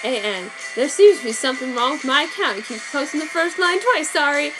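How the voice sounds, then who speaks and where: A woman reading a fan story aloud, deadpan and mock-serious. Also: sits high, fairly quick, rough recording. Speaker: reader. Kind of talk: dramatic fanfic reading. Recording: background music. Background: soundtrack music.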